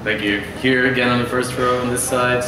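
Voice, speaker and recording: A man moderates a press conference in a casual way. His mid-pitched voice comes from a distance in a very reverberant, noisy room. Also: little pitch movement, a little fast. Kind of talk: casual live hosting.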